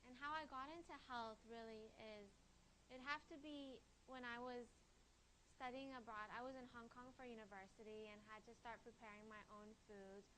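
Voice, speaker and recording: Bright, high-voiced young woman being interviewed. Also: fairly even intonation, moderate pace, a little hiss or hum, poor audio. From California, Taiwan and Shanghai. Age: 20s. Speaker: guest, holistic health coach. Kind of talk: conversational interview answers.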